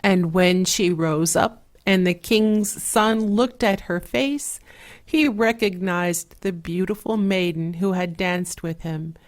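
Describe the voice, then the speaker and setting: Woman, lowish pitch, unhurried soft fairy-tale narration, relaxing, measured, 8D panning effect. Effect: 8D surround panning. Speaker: storyteller.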